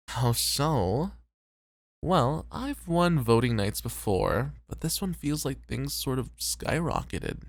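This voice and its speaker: A man playing a tired, popular host and teasing flirt in an ASMR roleplay, with relaxed banter. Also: mid-range voice, creaky, no echo, clean studio sound, full character voice.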